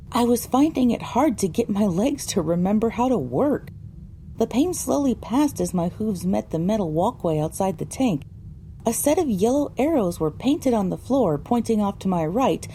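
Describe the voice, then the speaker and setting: A woman reading scripted first-person audiobook narration in a measured, dramatic storytelling manner. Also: medium pitch, mellow, a little hiss or hum, close to an everyday voice. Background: music.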